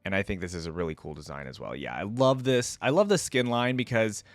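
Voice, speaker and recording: A man's voice: fairly low pitch, expressive, fairly quick, no room sound. A man giving casual reaction commentary as a video host, thinking aloud as he goes. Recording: music playing behind the voice. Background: game theme music.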